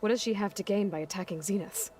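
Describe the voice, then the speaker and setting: A woman's voice: medium pitch, quick pace, darkish tone, slight background noise, natural voice. An actress playing a guarded, skeptical young warrior woman in a video game, blunt and questioning in her delivery. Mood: skeptical, probing. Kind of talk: naturalistic video game cutscene acting.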